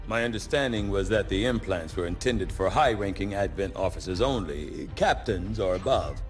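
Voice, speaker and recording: An actor playing a formal, wordy research scientist who speaks in measured, scholarly phrases in a video game cutscene. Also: male voice, on the low side, natural voice. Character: cerebral male research scientist, formal and verbose. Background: music.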